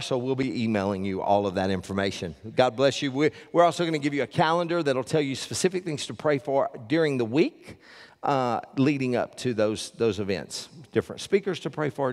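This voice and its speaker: An older man preaches from a church stage, folksy and quick to joke with the people in front of him. He talks into a headset mic, and his voice trails off into the long reverb of a large sanctuary. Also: mid-range voice, expressive, darkish tone. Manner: folksy, joking with the congregation. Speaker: pastor. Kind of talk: sermon with announcements.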